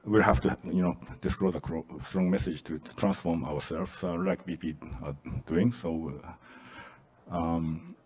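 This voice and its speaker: Male panelist, low voice, halting English, handheld mic. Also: fairly even intonation, darkish tone, low-quality recording. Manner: halting, many fillers and restarts. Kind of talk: answering a panel question.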